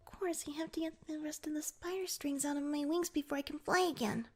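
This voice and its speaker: A woman's voice: high voice, fairly even intonation, quick pace, close to an everyday voice. A woman voicing a small, clumsy pixie in a fantasy audio roleplay, proud, easily flustered and wary of humans, with a stammering, indignant manner. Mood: relieved, explaining.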